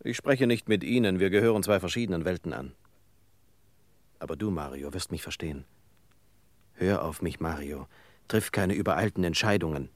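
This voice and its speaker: A man's voice: low-pitched, moderate pace, pauses often, no echo, close to an everyday voice. An actor playing an earnest, indignant small shopkeeper and family man in a German radio play, speaking formally and insistently. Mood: urgent pleading.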